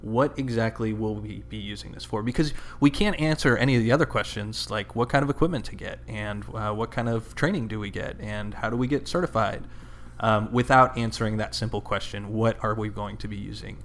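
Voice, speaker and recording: A man co-hosting a podcast in a conversational discussion, with halting, hesitant phrasing. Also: fairly low pitch, slight background noise. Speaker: podcast co-host.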